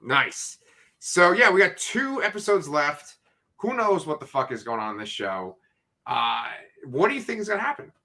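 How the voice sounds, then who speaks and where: A middle-aged man co-hosting a casual live chat, excitable and swearing freely as he explains things. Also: on the high side, moderate pace. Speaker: co-host.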